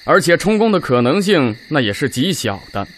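An actor playing an army officer in a radio drama, arguing strategy in an emphatic, formal way. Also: male voice, a little high, very animated, normal speed, fairly bright tone, a little hiss or hum. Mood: insistent.

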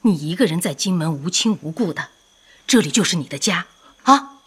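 An actress playing a kindly sister-in-law and young mother in a radio drama, gentle and hospitable. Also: fairly low pitch, expressive, moderate pace, slight background noise. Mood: kindly, welcoming. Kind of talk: Chinese radio drama acting.

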